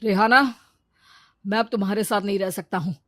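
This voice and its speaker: A woman's voice: medium pitch, fairly even intonation, moderate pace, a bit bright, no room sound, studio quality, natural voice. A woman voicing an arrogant, fickle husband in a cartoon story, brash and selfish. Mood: cold. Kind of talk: Hindi cartoon story dialogue.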